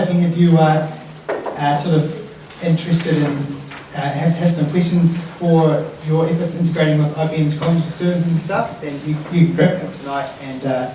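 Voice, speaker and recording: A man hosting a meetup, introducing the evening informally in a halting, hesitant way. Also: a little high. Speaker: meetup host. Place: indoor event venue in an office building. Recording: echoey room, distant mic, noisy.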